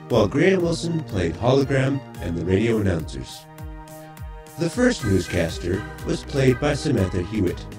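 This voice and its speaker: Man, narrator, scripted credits announcement, lively intonation, roomy echo. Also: fairly low pitch. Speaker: narrator reading closing credits. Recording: roomy voice over music.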